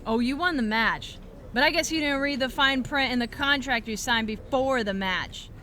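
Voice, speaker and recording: A woman's voice: high voice, normal speed, a little hiss or hum. An actress playing a boastful, bratty woman wrestling champion in a video game cutscene, smug and mocking. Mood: taunting. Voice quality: bright, taunting.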